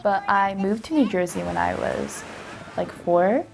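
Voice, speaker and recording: A young woman whose first language is Japanese, softly and hesitantly telling her own story as a documentary interviewee. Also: medium pitch, a little slow, creaky. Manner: soft-spoken, hesitant, frequent "like". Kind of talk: reflective personal account. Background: music.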